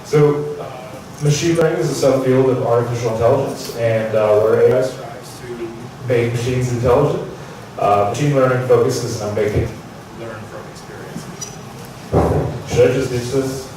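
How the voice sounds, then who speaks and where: A man giving a technical talk at a conference, loud and asking the audience questions. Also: medium pitch, fairly even intonation, moderate pace, background noise. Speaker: conference speaker. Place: large conference hall. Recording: echoey hall, mic from far off.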